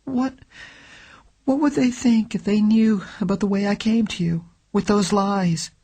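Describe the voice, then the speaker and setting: A woman's voice: mid-range voice, unhurried, mellow, pitched above the performer's own voice. A woman reading an audiobook, voicing a frightened young woman who pleads for help, with a thin, tremulous, faltering voice that slips into whispers. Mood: pleading. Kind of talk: audiobook character voice.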